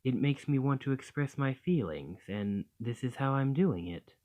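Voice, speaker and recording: A man voicing a gentle, bashful dragon in a romantic ASMR roleplay, tender and hesitant, doting on a partner. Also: medium pitch, animated, moderate pace, lightly acted. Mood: flustered, embarrassed. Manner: flustered, hesitant, tender, with sighs and stammers.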